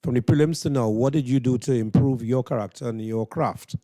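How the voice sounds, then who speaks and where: Male interviewer, Trinidadian, soft-edged mid-range voice, conversational and rambling, dry close sound. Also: mid-range voice, a little fast, no room sound. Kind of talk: casual backstage interview. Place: interview room just off stage.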